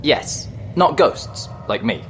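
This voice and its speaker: A man's voice: medium pitch, sing-song, takes it slowly, a little hiss or hum. An actor playing a polite, slightly nervous male ghost in an audio drama, chatting in mild understatement. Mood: polite, chatty. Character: friendly, nervous, polite male ghost, matter-of-fact about danger.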